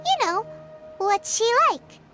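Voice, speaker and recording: A woman voicing a tiny childlike flying fairy companion in a video game, squeaky, chatty and inquisitive. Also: sing-song, takes it slowly, exaggerated voice. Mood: curious. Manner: chatty, inquisitive, refers to self by name. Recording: music behind the voice.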